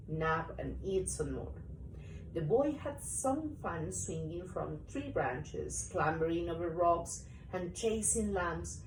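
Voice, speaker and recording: A woman reading a children's story aloud to a young child in an animated, expressive way. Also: lowish voice. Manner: expressive, acts out story parts. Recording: roomy, distant mic, faint noise.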